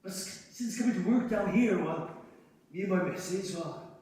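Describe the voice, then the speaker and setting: An actor playing Dan, a gentle miner, earnest and grateful, frightened underground. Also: male voice, high voice, normal speed, lightly acted. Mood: heartfelt. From Somerset. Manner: earnest, warm-hearted, frightened. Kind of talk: stage drama acting. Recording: echoey room from far off.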